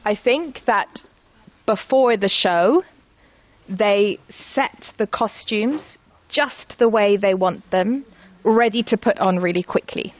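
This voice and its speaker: Actress taking audience questions, slow and careful, dull lo-fi sound. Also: female voice, mid-range voice. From Scotland. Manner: slow, careful, simple wording. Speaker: stage actor.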